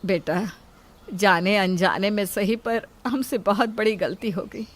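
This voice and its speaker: A woman's voice: medium pitch, measured pace, close to an everyday voice. A woman voicing a worried, self-sacrificing elderly mother in an emotional, pleading way for a moral story. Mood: remorseful, pleading.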